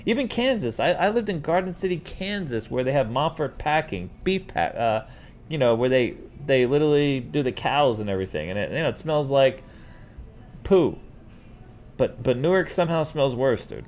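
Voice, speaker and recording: A man's voice: medium pitch, moderate pace, slight background noise. A middle-aged man from New York City, chatting casually as a host and rambling through stories while calling everyone dude. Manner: rambling, animated, says "dude" often. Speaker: host answering viewer questions. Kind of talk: casual livestream chat.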